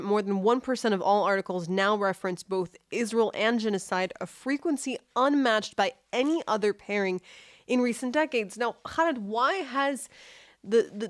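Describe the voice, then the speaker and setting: A woman's voice: fairly high pitch, no echo, studio quality. A woman hosting an interview, reading figures from a script before putting a question to her guest. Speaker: host.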